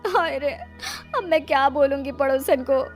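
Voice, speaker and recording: A woman's voice: high voice, moderate pace, soft background music, lightly acted. A woman voicing a boastful, panicky housewife in a melodramatic storytelling style. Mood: wailing. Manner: melodramatic, showing off. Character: Radha, boastful, panicky middle-class housewife.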